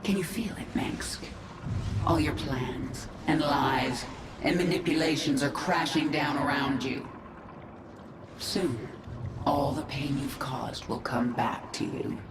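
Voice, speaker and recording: A woman's voice: low voice, slow, noisy background. A woman playing a cold, vengeful alien queen in a video game, giving clipped, authoritative orders with resolute force. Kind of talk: video game cinematic acting. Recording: echoey, loud music behind.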